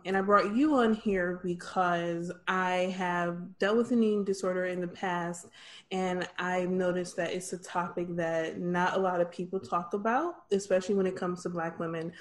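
A young American woman hosting a podcast, speaking softly with many ums and restarts in a casual interview chat. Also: on the low side. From Michigan, USA. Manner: soft-spoken, with frequent ums and restarts. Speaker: podcast host.

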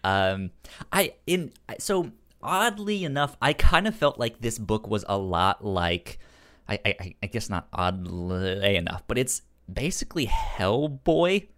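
A man's voice: fairly low pitch, lively intonation, unhurried, fairly bright tone. A man co-hosting a podcast, casually discussing comic books, with a stutter that repeats sounds and syllables. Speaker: podcast co-host.

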